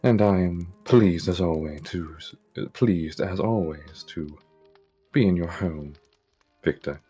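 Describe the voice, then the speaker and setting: A man acts as a vampire nobleman in a game roleplay. His voice is extremely deep and husky, with faint music behind. He speaks with formal, gracious politeness. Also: measured pace, mellow. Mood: polite, measured. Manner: polite, stately. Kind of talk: Minecraft roleplay acting.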